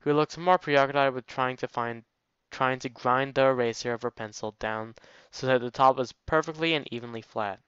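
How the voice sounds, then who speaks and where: A man's voice: medium pitch, steady tone, moderate pace, few pauses, fairly bright tone, clear, resonant tone, no echo, dull audio. A man hosting a let's play, reading a visual novel aloud informally, with jokey asides and stumbles over words.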